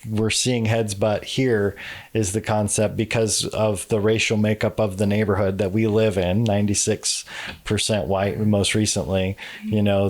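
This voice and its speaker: Male podcast host, lowish voice, searching and halting, leaning on fillers as he frames questions. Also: darkish tone. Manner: halting, with many fillers and restarts. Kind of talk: conversational interview.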